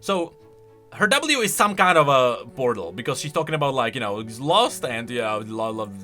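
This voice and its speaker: Animated, bright-voiced man thinking aloud. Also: medium pitch, moderate pace. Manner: excitable, speculative, thinking aloud. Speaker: host of a game lore channel. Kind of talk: casual reaction commentary. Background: music.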